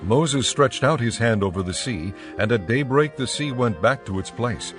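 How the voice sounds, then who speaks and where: A man narrating dramatized scripture in a measured, formal way. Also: medium pitch, lively intonation. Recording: dramatic music behind voice.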